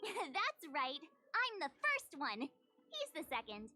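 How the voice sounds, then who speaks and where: A perky teenage girl adventurer in an anime-style game, extremely high and bright. She is eager, playful and sure of herself, swooping through her lines over game music. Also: wildly swooping pitch, slow pace, full character voice. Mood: excited, proud.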